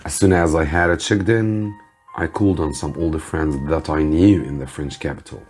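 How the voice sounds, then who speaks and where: A man, an Arabic speaker, reading a story to students as a teacher, with deliberate theatrical emphasis. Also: extremely low pitch, fairly even intonation, darkish tone, faint music behind. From Egypt. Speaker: English teacher.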